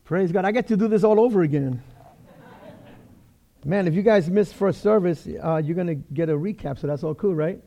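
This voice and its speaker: Gravelly, high-pitched New York preacher. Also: male voice, moderate pace, warm tone. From the Bronx, New York. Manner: chatty, joking, many fillers and restarts. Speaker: guest pastor. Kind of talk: casual sermon.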